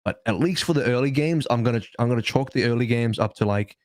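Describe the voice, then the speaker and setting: Male esports analyst, dry studio sound, mid-pitched, conversational but insistent, repeats himself for emphasis. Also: fast talker, a little mellow, dry recording. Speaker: podcast panelist.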